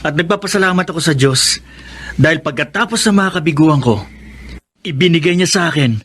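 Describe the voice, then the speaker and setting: A man's voice: fairly high pitch, fairly quick, fairly bright tone, slightly rough. A man playing a grateful, devoted grown son in a Filipino radio drama, speaking sincerely. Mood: happy, proud. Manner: earnest, sincere.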